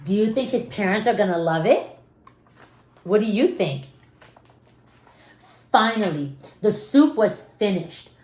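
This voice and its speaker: A woman reading a children's story aloud expressively, with dramatic pauses and questions to her young listeners. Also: mid-range voice, lively intonation, a little mellow. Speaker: storybook reader. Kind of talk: animated children's read-aloud with asides to listeners. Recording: roomy, echoing room sound.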